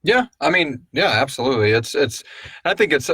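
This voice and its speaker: A man's voice: medium pitch, big pitch swings, fairly quick, a bit bright, breathy edge, no echo, clean studio sound. A man hosting a casual live stream, chatting informally and chummily with viewers in a rambling way. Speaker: podcast host answering listener questions.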